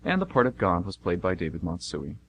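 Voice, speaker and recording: A man's voice: sits low, big pitch swings, brisk, gravelly, muffled recording. A man working as announcer and narrator for a radio theatre show, reading scripted links and narration. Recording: faint noise and hum.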